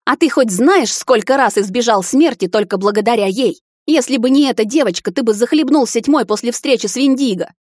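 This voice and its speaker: Woman doing a furious mom from a fantasy audiobook, shrill, yelling, almost crying, dry sound. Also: fairly quick, few pauses, dry recording, natural voice. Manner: shrieking, hissing, near tears. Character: furious middle-aged mother, shrill and accusing. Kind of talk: audiobook character voicing.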